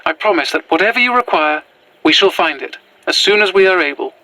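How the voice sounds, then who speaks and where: A woman's voice: lowish voice, expressive, a little fast, bright, forceful tone, no echo, poor audio. A woman playing a courteous, wistful Victorian figure in an audio drama, with formal, old-fashioned phrasing. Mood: grateful, earnest. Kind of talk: period-styled audio drama monologue.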